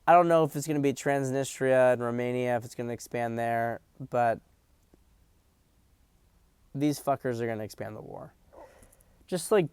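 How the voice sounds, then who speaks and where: A man delivers political commentary in a blunt, profane, emphatic way, often circling back and restating his points. His voice is smooth and resonant at a middle pitch. The sound is dry and close, with almost no room around it. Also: a little mellow, dry recording. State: hiccups.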